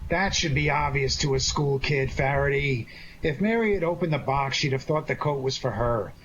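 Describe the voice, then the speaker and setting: A man's voice: mid-range voice, moderate pace, lo-fi sound, background noise, lightly acted. A man playing a glib, wisecracking amateur sleuth in a 1940s-style radio detective drama, placating the others. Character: wisecracking, fast-thinking male amateur sleuth.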